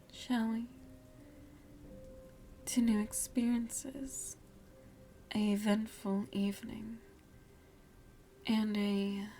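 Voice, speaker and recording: A woman playing a seductive, aristocratic vampire lady in an ASMR roleplay, speaking intimately and formally with a breathy, often whispering voice and teasing, veiled menace. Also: fairly high pitch, mellow, slight background noise, heavily acted. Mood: indulgent, gracious.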